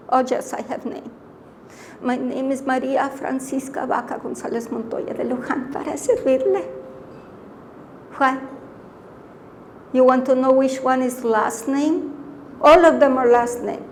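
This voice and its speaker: A woman's voice: sits high, steady tone, a little slow, long pauses, a little mellow, a little hiss or hum. Woman doing a chatty old Mexican widow. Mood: proud. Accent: Mexican Spanish. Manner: broken English mixed with Spanish, fussy and talkative. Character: chatty elderly Mexican-American widow, proud.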